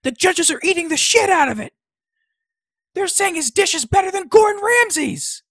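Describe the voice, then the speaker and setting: A woman playing a sarcastic, foul-mouthed teenage boy in an audio drama, with a husky, deadpan delivery. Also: very high-pitched, moderate pace, no room sound, clean studio sound. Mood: wildly excited. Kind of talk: podcast audio drama acting.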